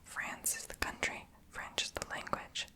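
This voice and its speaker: A woman whispering in ASMR style into a close mic. She repeats each foreign word softly, over and over. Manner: soft whisper, slow repetitions. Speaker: ASMR video host.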